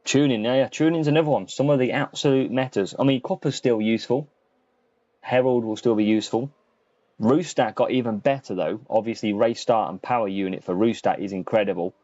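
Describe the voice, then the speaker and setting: A man's voice: medium pitch, brisk, warm tone, dry recording. A man casually commentating on a live gaming stream, chatting to viewers and addressing them by name. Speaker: streamer and host of a gaming channel. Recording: muffled lo-fi sound, music behind. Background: faint background music.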